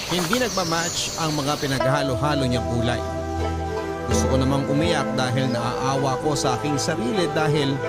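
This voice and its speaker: Solemn male storyteller over prominent music. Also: mid-range voice. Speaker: radio host reading a listener's letter. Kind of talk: dramatic first-person story narration.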